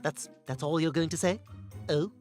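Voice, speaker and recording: A man's voice: fairly high pitch, normal speed, a little mellow, lightly acted. An actor voicing a haughty cartoon baby boy with a posh British accent, with music underneath. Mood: indignant. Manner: haughty, indignant. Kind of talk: cartoon voice acting.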